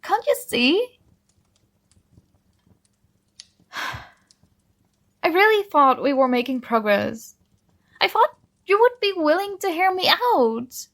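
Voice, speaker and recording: A woman playing a possessive but tender dream princess in an ASMR roleplay, coaxing and gently commanding, close to the microphone. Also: very high voice, big pitch swings, measured pace, long pauses, no room sound, heavily acted. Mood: pleading, commanding. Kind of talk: ASMR roleplay, soothing intimate monologue.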